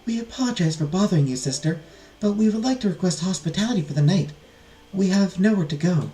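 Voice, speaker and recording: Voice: medium pitch, lively intonation, quick pace, dark tone, reverberant, faint music behind, voice pushed up, natural voice, low-quality recording. A performer voicing a young fugitive princess, earnest, weary and courteous, with formal storybook phrasing. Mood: polite, weary.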